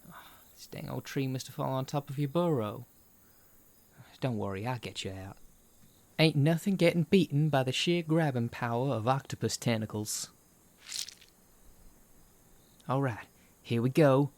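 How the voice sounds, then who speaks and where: A man's voice: mid-range voice, normal speed, darkish tone, natural voice. A man playing an eager, kindly young student with octopus tentacles, folksy and hesitant in an audio roleplay. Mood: cheerful, concerned. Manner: folksy, hesitant, corny joking. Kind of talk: character acting in an ASMR audio roleplay.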